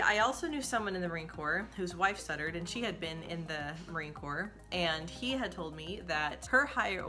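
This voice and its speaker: A young woman from the United States who stutters, talking casually to camera about her own experience, with frequent repeated sounds and restarts. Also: lowish voice.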